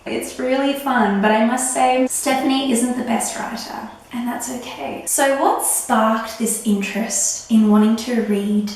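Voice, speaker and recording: A woman in her thirties, husky from a recent illness, chatting excitedly about a book. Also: on the high side, unhurried, hardly any pauses. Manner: gushing, excitable. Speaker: book reviewer. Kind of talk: casual book review chat. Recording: very echoey room.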